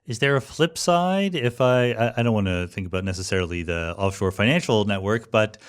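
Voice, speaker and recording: Low-voiced American man hosting an interview. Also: expressive, a little fast, clean tone. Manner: thoughtful, informal. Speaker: podcast host. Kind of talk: conversational interviewing.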